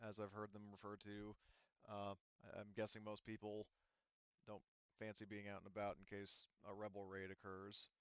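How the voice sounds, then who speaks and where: A man's voice: fairly low pitch, monotone, moderate pace, a bit bright, clean tone, no room sound, dull audio, natural voice. A man voicing a polite, hesitant waitress in a tabletop roleplaying game. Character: polite waitress at a colony bar.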